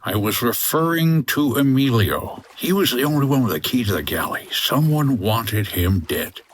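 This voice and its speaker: An actor playing a wry 1950s hotel owner and boat skipper in a noir comedy audio drama, dry and terse. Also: male voice, medium pitch, animated, normal speed, a little hiss or hum, lightly acted. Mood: hard-boiled. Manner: dry, hard-boiled, terse.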